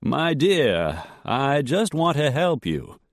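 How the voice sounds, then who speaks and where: A man doing a smooth, controlled Boston gentleman. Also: medium pitch, sing-song, a little slow, no room sound, clean studio sound, voice pushed up. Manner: smooth, carefully controlled, unctuous. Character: older man, calm and controlled suitor.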